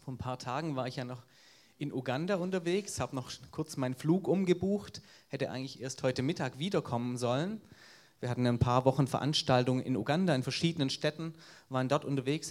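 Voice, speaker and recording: Man, Swabian accent, evangelist, earnest storytelling sermon, somewhat raised pitch. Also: a little mellow.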